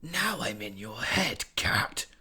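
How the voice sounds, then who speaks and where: Creepy whispering rat mind-voice, slow and menacing, distant. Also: male voice, lowish voice, big pitch swings, bright, forceful tone, full character voice. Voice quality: hoarse whisper. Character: sinister whispering rat king voice, male.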